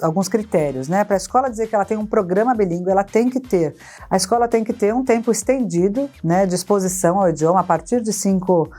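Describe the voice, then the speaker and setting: A woman specialist explains things in a measured, patient way, often checking in with her listeners. Her voice is mellow and warm. Soft music plays faintly behind her. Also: mid-range voice. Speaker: bilingual education specialist. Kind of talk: podcast panel discussion.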